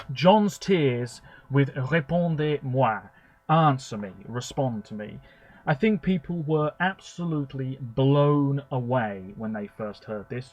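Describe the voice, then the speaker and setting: A man rambles on in a chatty, opinionated way, full of fillers and asides, his pitch sitting in an ordinary middle range. He talks to the camera from a room at home, as a video blogger sharing his views. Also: poor audio. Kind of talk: rambling commentary.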